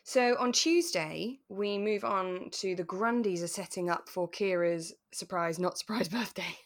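A British woman co-hosting a podcast in a relaxed, reflective chat, explaining her thoughts as she goes. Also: medium pitch, unhurried. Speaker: podcast co-host. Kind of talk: relaxed chat reviewing a radio drama.

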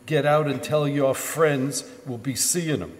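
A man's voice: mid-range voice, a little slow, natural voice. An actor playing a swaggering, slangy gangster gunman in a live radio crime drama. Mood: gruff, bossy. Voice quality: slangy tough-guy speech. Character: bossy, swaggering gangster gunman, a street tough. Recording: live stage in echoey hall.

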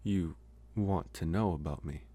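A young man playing a socially awkward college vampire, possessive yet earnest, speaking haltingly in an intimate ASMR roleplay. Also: deep voice, dark tone. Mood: reflective, open. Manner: halting, hesitant, trying to sound intimidating.

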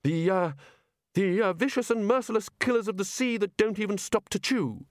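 A man's voice: high voice, normal speed, dry recording, studio quality, pitched above the performer's own voice, natural voice. A man voicing a muddled, flustered old wizard lecturer who hesitates and bumbles through his words.

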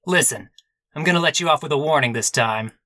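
A man playing an earnest, wry, bumbling police detective in a comic noir radio play, with a deadpan, hard-boiled delivery. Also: a little high, a little fast, no room sound, studio quality. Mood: stern.

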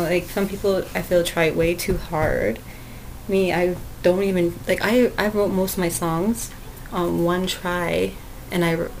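A woman talks alone into her podcast mic in a sweet, cute voice that sits slightly low. Her speech rambles along with fillers, restarts and little laughs. A faint hum of background noise runs under the recording. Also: a little mellow, low-quality recording. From Canada. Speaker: podcast host. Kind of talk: casual solo podcast talk.

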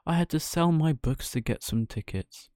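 Guy doing a high squeaky-pitched puppet boy, naive, excitable, stammery, kids storybook thing. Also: moderate pace, dry recording, studio quality, natural voice. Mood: sheepish confessing. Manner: hesitant, stammering when explaining. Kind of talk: children's storybook character voice.

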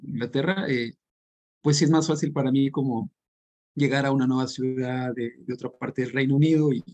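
Mexican man, podcast guest, mid-pitched voice, thoughtful, hesitant. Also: steady tone, moderate pace, a little mellow, dull audio. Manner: thoughtful, hesitant, many fillers. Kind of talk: casual conversational chat. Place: living in northern England.

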